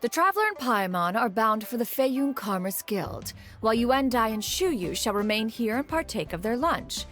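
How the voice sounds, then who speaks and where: A woman's voice: on the high side, lively intonation, moderate pace, hardly any pauses, fairly bright tone. A woman voicing a stately immortal crane adept in a game, speaking in a formal, archaic, self-assured way. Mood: composed. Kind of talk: game voice acting. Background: game music.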